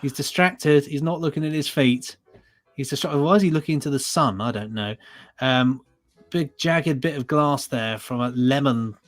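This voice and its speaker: A man's voice: mid-range voice, moderate pace, soft background music. A British man co-hosting a podcast in casual, conversational chat. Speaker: podcast co-host.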